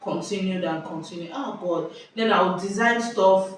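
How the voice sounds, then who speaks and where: A young woman with a Nigerian accent tells a story in an animated, exclamatory way, cutting herself off and restarting. Her voice bounces around a very echoey room. She sits some way from the mic, and a faint hiss runs underneath. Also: mid-range voice, moderate pace, recorded from a distance. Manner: lively, exclamatory, self-interrupting. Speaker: vlogger. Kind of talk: casual storytelling chat. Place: indoors at home.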